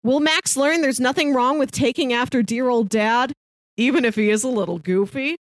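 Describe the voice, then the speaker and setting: A woman co-hosting a podcast, playfully pitching and chatting about films, chuckling as she talks. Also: normal speed, no echo, clean studio sound. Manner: chuckles mid-sentence, playful. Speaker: podcast co-host. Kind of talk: casual film podcast chat, pitching films and reading blurbs.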